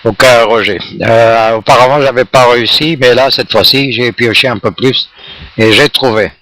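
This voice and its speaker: A man's voice: mid-range voice, moderate pace, sharp tone. A man chatting casually and in a friendly way as an amateur radio operator during a contact.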